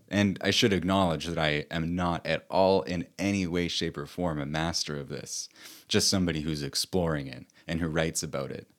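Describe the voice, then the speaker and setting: A man with a deep voice giving a slow, thoughtful personal talk as an author and host.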